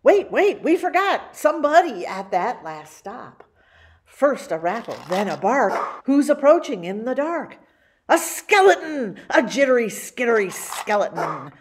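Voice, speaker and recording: A woman reading a picture book aloud as a story-time host, with theatrical, animated delivery. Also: on the high side, a little slow, slight background noise. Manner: theatrical, with exclamations and spooky sound effects. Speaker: library story-time reader.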